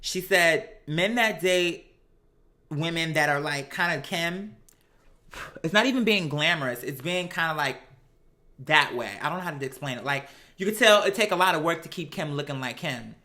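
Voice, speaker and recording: A woman doing casual gossip commentary on reality TV, sassy and animated, with slangy, profane talk and mocking quotes. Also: sits low, brisk. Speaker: host of a live commentary show.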